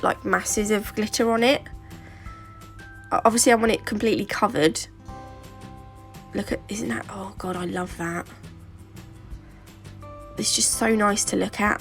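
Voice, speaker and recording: A woman's voice: medium pitch, gravelly, fairly bright tone. A British woman with a sore throat and a stuffy nose, chatting her way through a nail tutorial in a rambling, casual style. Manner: chatty and rambling, with fillers and asides. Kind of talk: casual how-to narration.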